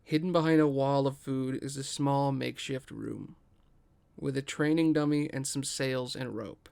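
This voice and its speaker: A man's voice: medium pitch, fairly even intonation, normal speed, vocal fry, darkish tone, clean tone. A man reading scripted stage directions as the narrator of a table read.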